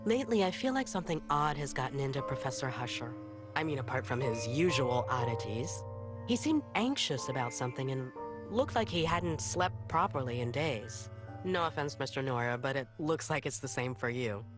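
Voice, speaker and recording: A woman's voice: rumbling low, animated, moderate pace. A young woman student, worried but polite, horror game scene with music under her. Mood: worried, tactful. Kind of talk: dramatic video game dialogue. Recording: music behind the voice.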